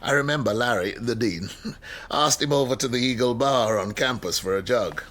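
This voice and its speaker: An actor playing an outspoken, wry, middle-aged history professor in a radio drama, emphatic and rhetorical. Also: male voice, mid-range voice, moderate pace, a bit bright, close to an everyday voice. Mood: wry, confiding. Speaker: university lecturer. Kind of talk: radio drama acting, lecturing.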